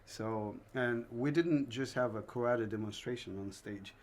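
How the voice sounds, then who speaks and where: A man's voice: medium pitch, unhurried. A man from Haiti, an interview guest, telling stories of his youth in a loose, rambling way full of fillers. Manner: rambling, many fillers and "you know". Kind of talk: casual interview, personal memories.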